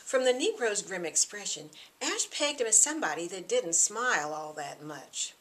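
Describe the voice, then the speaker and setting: A middle-aged woman reading her own novel aloud in expressive scripted narration. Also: mid-range voice, normal speed.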